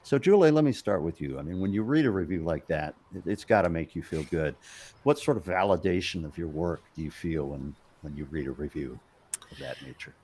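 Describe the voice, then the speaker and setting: Low-voiced easygoing male interviewer. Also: a little fast, a little mellow, slightly rough. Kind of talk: casual interview questions.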